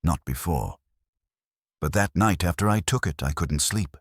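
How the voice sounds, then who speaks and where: Man voicing bewildered police suspect, very deep voice, halting, insistent, lively intonation, audio drama. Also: dry recording, clean studio sound, heavily acted. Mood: haunted. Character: shaken, bewildered man under police questioning.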